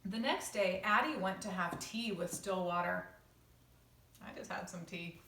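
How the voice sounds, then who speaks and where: A woman teacher reading a picture book aloud to children, with casual asides and expressive delivery. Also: fairly low pitch, unhurried. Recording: roomy echo, distant microphone.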